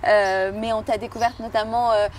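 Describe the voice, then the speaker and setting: Woman radio host, upbeat and complimentary, fairly high voice, faint traffic behind. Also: level tone, moderate pace. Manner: enthusiastic, complimentary. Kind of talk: radio interview.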